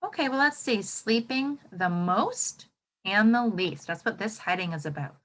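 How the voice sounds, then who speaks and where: A woman teacher giving a read-aloud lesson to young children, expressive and instructive, often checking in with a quick question. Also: medium pitch. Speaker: elementary teacher.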